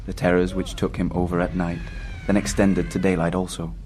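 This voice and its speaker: An actor playing a haunted seafarer recounting a doomed voyage in solemn, scripted first-person horror narration. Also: male voice, low voice, level tone, a little fast, a little mellow, slight background noise, lightly acted. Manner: solemn, literary storytelling.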